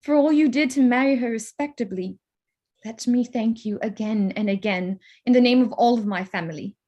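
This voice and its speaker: A witty young Regency gentlewoman acted by a woman with a fairly high voice. She is spirited and teasing yet keeps her composure, speaking in the formal phrases of a period romance read for the stage. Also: moderate pace, highs cut off, lightly acted. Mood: guarded, earnest. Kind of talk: staged reading of a period drama.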